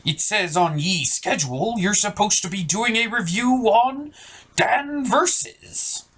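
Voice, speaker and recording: A man's voice: sits high, lively intonation, measured pace, fairly bright tone, pitched above the performer's own voice, exaggerated voice. A man voicing a skeptical, nagging pirate puppet who drawls in pirate speak for a comedy puppet show.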